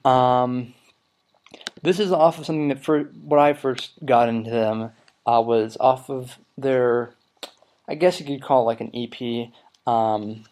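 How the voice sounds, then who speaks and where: A young man in his twenties hosting a casual solo podcast, rambling with lots of ums and low energy while getting over a cold. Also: unhurried, darkish tone, slight background noise. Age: 20s. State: recovering from a cold. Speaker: podcast host.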